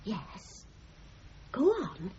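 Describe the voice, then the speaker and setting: A woman's voice: medium pitch, wildly swooping pitch, very slow, mellow, exaggerated voice. An actress playing a prim, curious, easily shocked middle-aged married woman in a radio comedy drama. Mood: probing. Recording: muffled, noisy 1970s radio drama recording.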